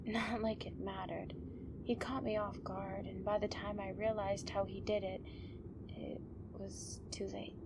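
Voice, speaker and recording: Woman acting slow, wry injured tough woman. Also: medium pitch, little pitch movement, lightly acted. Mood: subdued, confessional. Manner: sardonic, often hushed and breathy. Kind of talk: audio drama acting. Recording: clear background ambience noise.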